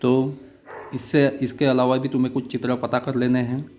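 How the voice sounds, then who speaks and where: A man teaching a primary school lesson, pausing often with "uh" fillers as he explains each picture. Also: mid-range voice, level tone, a little mellow, slight background noise. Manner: halting, with many "uh" fillers. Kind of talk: online class lesson.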